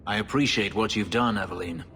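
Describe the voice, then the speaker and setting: Man playing a brooding elf warrior, very low-voiced. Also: a bit bright, slight background noise, close to an everyday voice. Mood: pensive. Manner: grave, measured, intense. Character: brooding embittered elf warrior, escaped slave.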